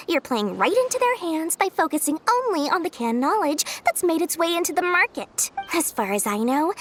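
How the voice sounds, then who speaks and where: Sly, greedy young merchant. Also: female voice, high voice, a little hiss or hum. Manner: sly, bubbly, salesy lecturing with giggles. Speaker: game character. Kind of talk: video game voice acting.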